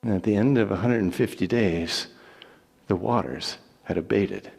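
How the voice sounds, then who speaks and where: A man giving a dramatic Bible reading as storytelling narration, with theatrical, declamatory and deliberate phrasing. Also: fairly low pitch, gravelly, darkish tone, slightly rough. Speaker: scripture reader. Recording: long echoing hall reverb.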